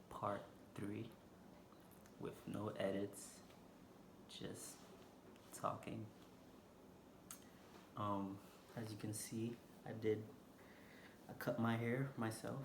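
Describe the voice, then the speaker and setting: A man with a Haitian accent, vlogging unscripted from a stool indoors, talking slowly and haltingly with lots of fillers. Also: on the low side, level tone, gravelly. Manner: slow, halting, many fillers. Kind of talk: unscripted casual vlog talk. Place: indoor room, seated on a stool. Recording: echoey room with background noise.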